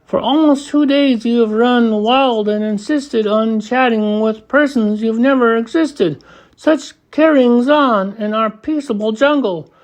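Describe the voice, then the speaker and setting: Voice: medium pitch, unhurried, pitched above the performer's own voice, close to an everyday voice. A storybook reader voicing a big, bossy female kangaroo with a haughty, snappish, scolding manner.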